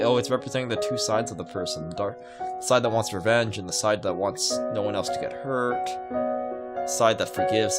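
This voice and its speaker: Low-voiced man reading a visual novel. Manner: musing, reacting aloud to the story. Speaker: let's player. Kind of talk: reading a visual novel aloud with casual asides. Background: game music.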